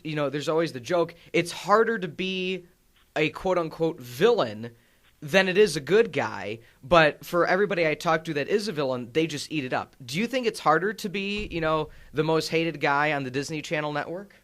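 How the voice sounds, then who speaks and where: A man hosting sports talk radio, upbeat and chatty with a slightly high voice. He laughs easily and keeps the interview rolling. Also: expressive, normal speed, fairly bright tone. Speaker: radio sports show host. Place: radio studio.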